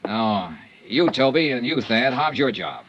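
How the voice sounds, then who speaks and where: An American actor playing a tough, shrewd insurance investigator in a 1950s radio drama, brisk and commanding. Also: male voice, mid-range voice, very animated, a bit bright, muffled recording. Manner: brisk, commanding, clipped delivery. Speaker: lead actor and narrator. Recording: vintage radio recording with faint noise.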